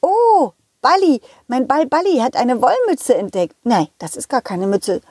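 A woman plays an upbeat little dog hero from a children's audio play. The voice is high and very lively, exclaiming and coaxing young listeners along, with a close, dry sound. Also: unhurried, breathy edge, dry recording, heavily acted. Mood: chatty, upbeat. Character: cheerful, confident, child-friendly talking dog hero.

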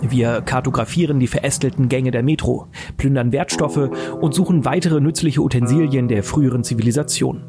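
A man's voice: medium pitch, brisk, lightly acted. A man playing a weary, bleak mercenary survivor, narrating an audio drama in a brooding, literary way. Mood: grim. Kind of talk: scripted first-person dramatic narration. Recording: voice over game music. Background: guitar music and game sound effects.

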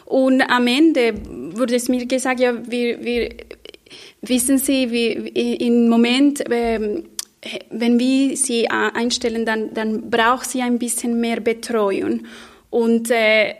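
A woman and talk show guest who speaks German as a second language, halting and searching for words. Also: on the high side. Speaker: guest, social worker. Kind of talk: discussion answer.

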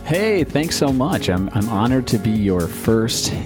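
A man's voice: mid-range voice, sing-song, a little slow, over music. A man in his thirties from the United States, a guest answering interview questions in a friendly, upbeat way with frequent fillers. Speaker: podcast guest, ad agency owner.

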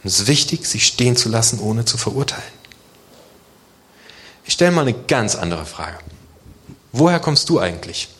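A man from Germany delivers an informal sermon at a medium pitch. He speaks conversationally to his congregation, posing questions in plain, casual words. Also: sing-song, moderate pace, pauses often, fairly bright tone. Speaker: preacher.

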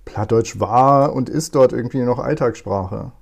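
A man in his forties from northern Germany, talking as an interview guest in a relaxed, thoughtful way with many fillers. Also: medium pitch, unhurried, vocal fry, slightly rough. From East Frisia, northern Germany. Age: 40s. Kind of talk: conversational interview.

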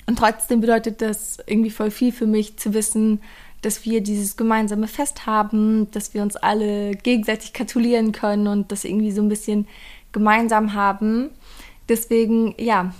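A woman hosts a podcast at a mid-range pitch. She talks in an upbeat, chatty way, keeping things relaxed and conversational as she introduces the episode and her guest. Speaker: podcast host. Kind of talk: casual podcast hosting.

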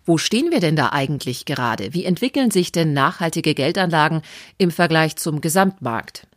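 A woman interviewer asking brisk, probing questions. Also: low-pitched, lively intonation, dry recording, studio quality.

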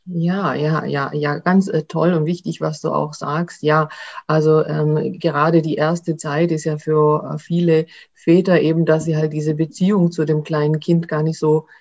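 A woman hosting an interview, warmly affirming her guest and asking follow-up questions with many fillers. Her voice comes through a slightly dull, lo-fi recording. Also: low-pitched, level tone, a little slow. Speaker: interviewer, host. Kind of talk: conversational interview.

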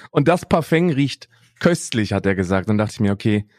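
A man's voice: fairly low pitch, expressive, moderate pace, a little mellow, dry recording. Rambling young man, dry close sound. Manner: rambling, tags lines with "ne?" and "Bruder". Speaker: podcast co-host. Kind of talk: casual chat.

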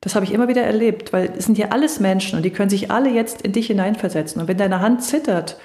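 A middle-aged woman coaching on voice and stage fright, explaining calmly and reassuringly in a podcast conversation. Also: medium pitch, fast. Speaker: voice and charisma coach. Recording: echoey room.

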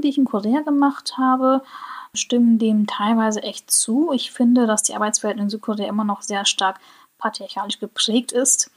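A woman from Germany hosting a solo podcast, giving her opinions in a reflective way and slowing down on key points. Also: mid-range voice. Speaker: podcast host.

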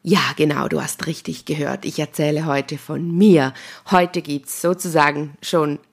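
Low, creaky Swiss German woman podcaster. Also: fairly even intonation, unhurried. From Switzerland. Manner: chatty and informal, addresses the listener directly. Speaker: podcast host and spiritual healer. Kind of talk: casual solo podcast talk.

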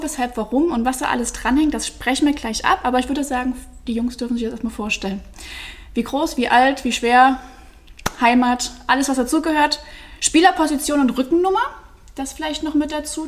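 A young woman hosting a podcast, chatty and laughing easily as she talks with guests. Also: high voice. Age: young adult. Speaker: podcast host. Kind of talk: casual podcast chat.

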